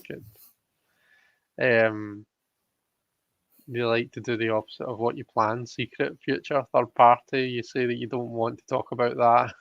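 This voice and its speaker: A man's voice: medium pitch, little pitch movement, measured pace, vocal fry, long pauses. A man hosting a casual live stream, reading out viewer comments, in a dull recording with room echo. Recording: dull lo-fi sound, roomy echo.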